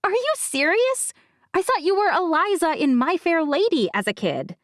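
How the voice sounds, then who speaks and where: Audiobook friend role, acted by a woman: eager young woman, high voice, inquisitive and incredulous. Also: big pitch swings, normal speed, no echo, clean studio sound. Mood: incredulous, excited. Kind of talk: audiobook character dialogue.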